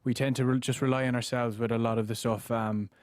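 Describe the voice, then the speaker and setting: A young Irish man, around nineteen, with a mid-pitched voice. He chats casually as a band member in an interview, recorded very dry in a studio. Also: little pitch movement, fast, no room sound. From Ireland. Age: late teens. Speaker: boy band singer, interview guest. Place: radio studio. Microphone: studio microphone.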